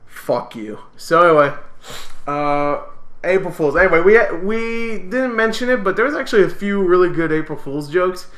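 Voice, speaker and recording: A man's voice: high voice, expressive, moderate pace, a bit bright. A young man co-hosting a casual podcast, loose and profane, laughing often. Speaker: podcast co-host. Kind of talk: casual chat. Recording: echoey room.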